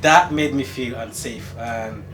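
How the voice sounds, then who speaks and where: A man from Rwanda with a Rwandan accent, earnestly giving a personal account at a meeting in a measured, halting way. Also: medium pitch, a bit bright, a little hiss or hum. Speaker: community meeting participant, city employee.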